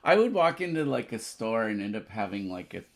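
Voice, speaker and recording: A man with a fairly low, creaky voice chats casually as he co-hosts a board game. His intonation is very lively, jumping around as he reacts and thinks aloud. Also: fairly quick. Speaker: board game playthrough co-host. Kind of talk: casual chat.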